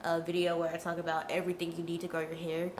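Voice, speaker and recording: A woman's voice: on the low side, monotone, fast, echoey room, slight background noise. A young woman with a stuffy nose from a cold, giving frank, chatty hair care advice straight to her viewers. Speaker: natural hair vlogger. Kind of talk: casual advice vlog.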